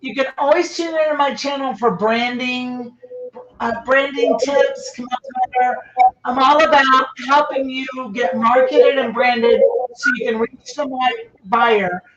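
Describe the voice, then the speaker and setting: Here is a woman in her late fifties pitching her branding advice, with a high voice and an eager, salesy delivery. Her audio sounds roomy and dull, as if captured through a live stream. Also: unhurried, crowd noise. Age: 50s. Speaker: guest, branding coach. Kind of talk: conversational promotional talk.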